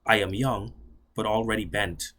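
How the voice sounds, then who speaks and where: Husky man reading poetry. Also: fairly even intonation, pushed and bright. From Bahamas. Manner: measured, solemn delivery that slows and drops low on closing lines. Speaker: graduate student poet. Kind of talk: spoken-word poetry reading.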